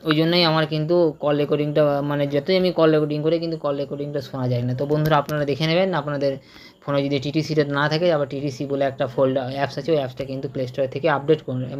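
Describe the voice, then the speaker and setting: Male tutorial presenter, Indian Bengali accent, extremely quick delivery, unscripted, repetitive and stumbling. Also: a little high. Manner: repetitive, stumbling, with frequent tag questions. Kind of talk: informal unscripted screen-walkthrough tutorial.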